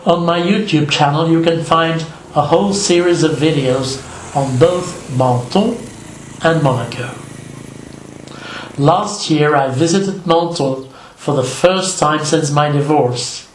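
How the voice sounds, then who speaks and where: Slow, reflective older man. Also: a little high, echoey room, slight background noise, rough recording. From Belgium. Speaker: vlogger. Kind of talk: scripted voice-over narration.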